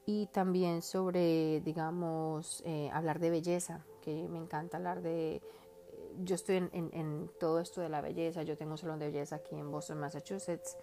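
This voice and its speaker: A woman's voice: sits low, little pitch movement, moderate pace, warm tone. A woman hosting her own podcast in a casual, earnest and heartfelt monologue, rambling with lots of fillers. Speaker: podcast host.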